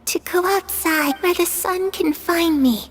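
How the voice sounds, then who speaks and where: A woman's voice: extremely high pitch, a little slow. A woman voicing a mysterious, sad little girl in a video game, hesitant and solemn. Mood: wistful, solemn. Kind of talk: video game character dialogue.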